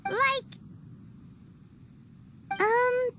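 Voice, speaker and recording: A woman voicing a small, excitable, cheeky childlike fairy companion with a squeaky voice in a video game. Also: drawn-out words, slight background noise, exaggerated voice. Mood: cheeky, excited. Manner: exclamatory, playful, speaks of self in third person. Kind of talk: animated video game voice acting. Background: game music.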